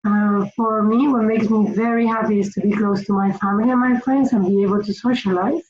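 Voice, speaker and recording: A woman from Spain with a Spanish accent gives informal instructional tips to camera in a slightly hesitant, halting way. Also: medium pitch, steady tone, a little mellow. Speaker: finance consultant giving budgeting tips. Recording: roomy echo, dull lo-fi sound, faint noise.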